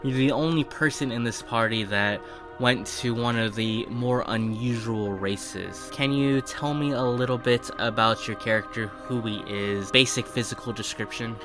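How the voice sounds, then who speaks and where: A man's voice: mid-range voice, normal speed. A man speaking as a game master, hosting a fantasy role-playing show and setting up questions for a player. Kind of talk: scripted intro narration and character interview. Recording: music behind the voice. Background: background music.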